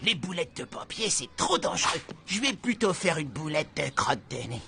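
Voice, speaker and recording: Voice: mid-range voice, big pitch swings, normal speed, vocal fry, very noisy. An actor voicing a goofy, overzealous and dim-witted schoolboy bodyguard in a French cartoon, blurting everything out over-dramatically. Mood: dramatic.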